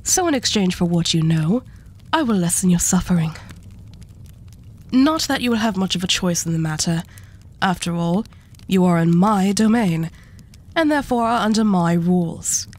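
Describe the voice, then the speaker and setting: A woman playing an arch devil who hoards relics, speaking with unhurried, condescending and politely menacing poise in a scripted ASMR roleplay. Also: fairly low pitch, lively intonation, a little mellow. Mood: coolly menacing.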